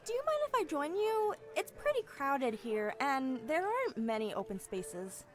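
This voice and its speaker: A woman's voice: high voice, sing-song, normal speed. A woman acting a young elf assassin in a fantasy audio drama, charming and sweetly feigning innocence. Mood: bubbly, polite. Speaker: voice actor in an audio roleplay.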